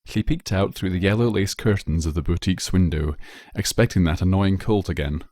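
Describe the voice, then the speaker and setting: A man's voice: low-pitched, brisk, mellow, no room sound, studio quality. A man reading scripted fan fiction as a storyteller.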